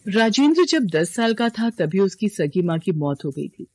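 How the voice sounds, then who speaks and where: A woman's voice: mid-range voice, very animated, moderate pace, darkish tone, no room sound. A woman reading a scripted dramatic story as its narrator.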